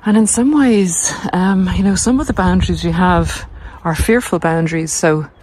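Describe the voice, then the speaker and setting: A woman with an Irish accent giving a casual, explanatory monologue in a measured, halting way while out on a walk. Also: lowish voice, darkish tone. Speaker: podcast host and narcissism recovery coach. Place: outdoors on a coastal cliff walk. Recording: noisy outdoor handheld recording.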